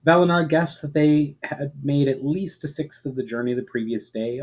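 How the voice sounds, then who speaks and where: A man reading a fantasy story aloud as a narrator, in a measured, expressive storyteller's manner. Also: mid-range voice, low-quality recording. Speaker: author reading fiction aloud.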